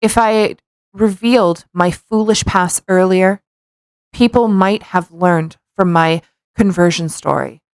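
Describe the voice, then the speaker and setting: Low-voiced woman, close dry sound. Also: unhurried, clean tone, no room sound. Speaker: podcast co-host. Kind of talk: casual chat and reading a post aloud.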